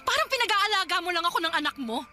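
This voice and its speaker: A woman's voice: very high-pitched, very animated, fast talker, sharp tone, soft background music, exaggerated voice. An actress playing a woman who presses her partner for commitment in a radio drama, pleading and confrontational. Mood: exasperated.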